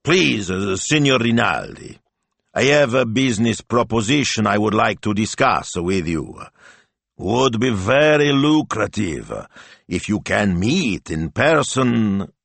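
A pompous middle-aged butcher from a storybook pleads slowly and ingratiatingly, as a male narrator puts him on. The voice sits higher than the reader's own deep tone and carries a fawning, salesman's lilt. The recording is close and dry. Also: animated, creaky, fairly bright tone, dry recording, full character voice. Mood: wheedling.

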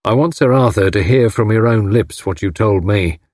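A man voicing a solemn police inspector in an audiobook, speaking in a courteous, firm, measured and official way. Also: on the low side, darkish tone, dry recording, clean studio sound, lightly acted. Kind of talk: audiobook character acting.

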